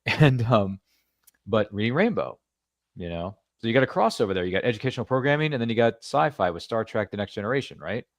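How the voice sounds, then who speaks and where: A man's voice: on the low side, sing-song, fast talker, no echo, clean studio sound. A man hosting a podcast interview, chatty and rambling, with jokey asides. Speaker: podcast host. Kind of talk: casual interview chat.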